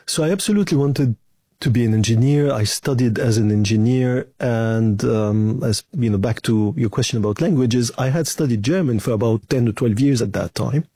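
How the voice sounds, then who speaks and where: A middle-aged man from Lebanon, a bank chief executive answering interview questions in a measured, thoughtful way with some fillers. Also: medium pitch, mellow, breathy edge, no room sound, studio quality.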